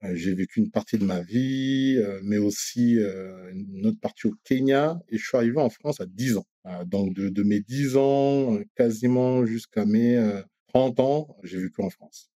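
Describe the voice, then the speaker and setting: A man in his thirties from Rwanda, talking as an interview guest, hesitant with many pauses and restarts. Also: on the low side, dark tone, no echo. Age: 30s. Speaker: guest, company director. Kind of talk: casual interview chat.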